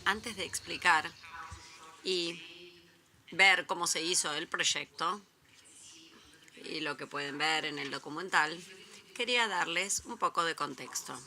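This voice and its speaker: A woman speaking slowly and deliberately as a conference presenter. Her first language is Italian. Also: on the low side, pushed and bright. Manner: slow and deliberate. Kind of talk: presentation talk with live interpreting of a trailer.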